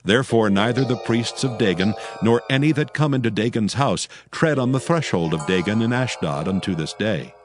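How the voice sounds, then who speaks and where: Male scripture narrator, lowish pitch, solemn measured read for audio drama, prominent music bed. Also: lively intonation. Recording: loud music under the voice.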